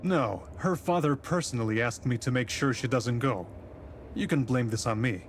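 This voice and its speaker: A composed detective from a period mystery game, voiced by a man, speaks in a measured, courteous and formal way. His voice sits at a middling pitch over faint background noise. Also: lightly acted. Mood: matter-of-fact. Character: composed male police detective from the capital in tsarist Russia. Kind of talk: visual novel voice acting.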